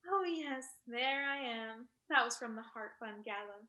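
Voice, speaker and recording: A woman's voice: a little high, measured pace, bright, forceful tone. A woman acting a vain, glamorous, wealthy socialite who gushes about herself in a staged play reading over a video call. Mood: gushing. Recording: roomy video-call audio.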